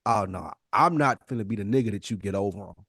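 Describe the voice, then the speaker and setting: A man's voice: lowish voice, animated, fast talker, gravelly, fairly bright tone, no echo, studio quality. A man co-hosting a podcast in casual discussion, emphatic and repeating phrases for stress. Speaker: podcast co-host.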